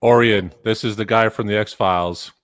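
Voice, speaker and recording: A man's voice: lowish voice, steady tone, normal speed, a bit bright, dry recording, studio quality. A man on a live stream panel, chatting casually and making wisecracks. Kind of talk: casual joking chat.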